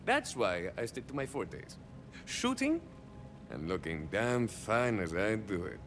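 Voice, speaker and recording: An actor playing a swaggering young cyborg soldier of fortune in a video game, a touched flavor, musing theatrically and landing wry deadpan punchlines. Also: male voice, lowish voice, measured pace, long reverb tail, faint music behind, heavily acted. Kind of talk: video game character monologue.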